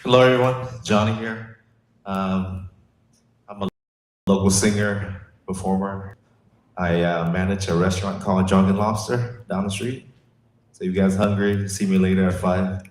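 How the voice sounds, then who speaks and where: A man, a singer and restaurant manager, introducing himself in a loud, jokey, outgoing way. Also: sits low, little pitch movement, normal speed, pauses often. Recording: echoey room, faint noise.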